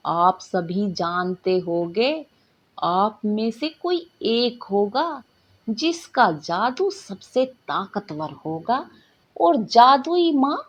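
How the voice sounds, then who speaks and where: A woman's voice: mid-range voice, breathy edge, lo-fi sound, exaggerated voice. A woman voicing a strict, commanding male magic teacher who lectures and scolds in a bedtime story.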